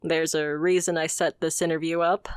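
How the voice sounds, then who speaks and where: A woman's voice: low voice, flat delivery, moderate pace, clear, resonant tone, no echo, studio quality, natural voice. A woman playing a confident, haughty supervillain boss in a scripted ASMR roleplay, speaking with teasing, condescending poise. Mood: teasing, intrigued. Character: confident female supervillain boss, haughty yet playfully amused.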